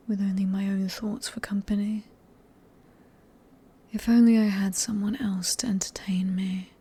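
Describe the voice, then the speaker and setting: Woman acting as cruel female vampire, British accent, very breathy whisper, mellow tone, genteel, teasing, manipulative, ASMR roleplay. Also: medium pitch. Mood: possessive, seductive. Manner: slow, teasing, condescending, genteel. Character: sadistic, possessive female vampire, silkily polite and manipulative.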